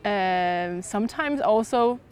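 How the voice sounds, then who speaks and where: A woman's voice: mid-range voice, animated, slow, smooth. A young woman from Denmark, talking casually and expressively as an exchange student in an interview. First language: Danish. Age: young adult.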